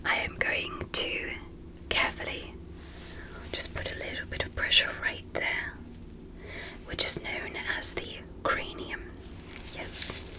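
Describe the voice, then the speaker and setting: A woman whispering softly and breathily in an ASMR medical roleplay, speaking gently and deliberately in short measured phrases as a fascinated alien doctor. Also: poor audio. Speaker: ASMR performer.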